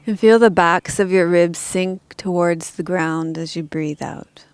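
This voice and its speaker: A woman leading a guided meditation as a teacher, speaking calmly and gently in measured instructions with pauses. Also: on the low side, clean tone, no room sound.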